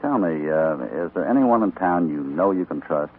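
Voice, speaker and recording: An actor playing a shrewd, calm cowboy hero in a 1950s radio western, unhurried and probing, with dry understatement. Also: male voice, low-pitched. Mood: gently firm. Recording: tinny, noisy old radio transcription.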